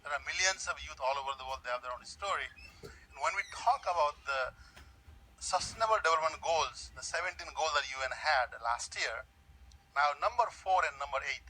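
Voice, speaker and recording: A man's voice: fairly high pitch, sharp tone, slightly rough. A man from Nepal, asking an earnest, pleading question from the floor of a large conference hall and repeating his key words. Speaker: audience member asking a question. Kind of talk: public question at a panel.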